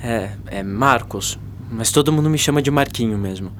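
A man's voice: medium pitch, moderate pace, a bit bright, slight background noise, lightly acted. A man playing a cheeky, slacking teenage schoolboy in a Brazilian radio drama, informal and joking.

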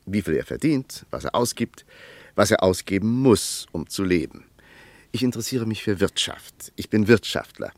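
An actor playing a blunt, probing economist in a German radio drama. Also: male voice, fairly low pitch, expressive, normal speed, no room sound.

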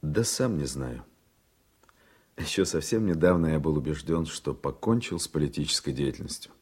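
An actor playing an earnest, politically ambitious younger man in a radio play, with a serious, deliberate way of talking. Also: rumbling low, expressive, darkish tone, breathy edge, full character voice. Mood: earnest, resolute.

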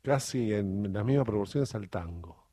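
A man's voice: lowish voice, lively intonation, moderate pace, mellow, no room sound, studio quality. A man with an Argentine accent, commenting as a co-interviewer in hesitant, piecemeal phrases. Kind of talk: conversational commentary.

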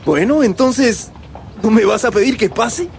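An actor playing a friendly, concerned young man, a loyal childhood friend, with expressive radio drama delivery. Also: extremely high pitch, unhurried, vocal fry, a little hiss or hum, heavily acted. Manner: solicitous, expressive. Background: music.

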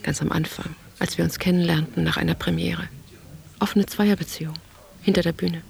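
An actress playing an introspective, haunted woman who speaks in hushed, breathy fragments, as an inward monologue in a literary radio play. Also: lowish voice, fairly even intonation, fairly quick. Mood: hushed, remembering. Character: introspective woman recalling childhood and love, haunted, searching.

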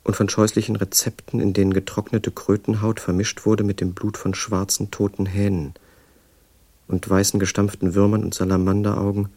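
An actor playing a literary narrator, telling a strange story in measured scripted radio drama style. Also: male voice, fairly low pitch, flat delivery, dark tone, no echo, lightly acted.

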